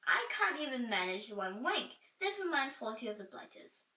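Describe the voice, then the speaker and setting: A woman's voice: fairly high pitch, very animated, moderate pace, bright, forceful tone. A woman voicing a grumpy, weary king and father in a children's storybook, blustering and exasperated. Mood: weary dismay. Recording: roomy echo, distant from mic.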